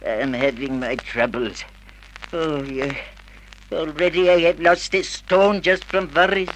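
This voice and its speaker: An excitable, fretful movie producer in an old radio drama. His voice is bright and fairly high, with melodramatic emphasis, over faint recording noise. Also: measured pace, low-quality recording. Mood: exasperated. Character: excitable, fretful male film producer with foreign turns of phrase. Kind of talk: 1950s radio drama acting.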